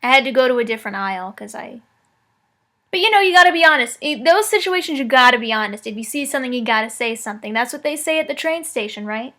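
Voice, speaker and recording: A woman with a high, bright voice runs a prank phone call. She keeps a straight face and teases the person on the other end. Her voice is a little higher than most women's and ringing. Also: lively intonation, fast. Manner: mock-serious, teasing. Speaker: prank caller.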